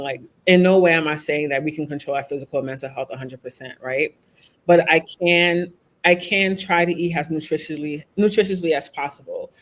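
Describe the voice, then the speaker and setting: A woman speaking as a podcast guest, talking openly and reflectively, often checking in with "right?". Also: sits low. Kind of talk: candid conversational interview.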